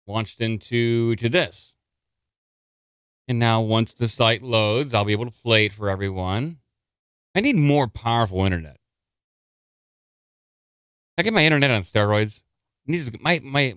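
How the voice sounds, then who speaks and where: A man's voice: lowish voice, fairly bright tone, smooth, dry recording, studio quality. A man hosting a live stream in a chatty, rambling way, a little congested and nasal. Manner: chatty, rambling, quick to gripe. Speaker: podcast host. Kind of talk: casual live-stream talk.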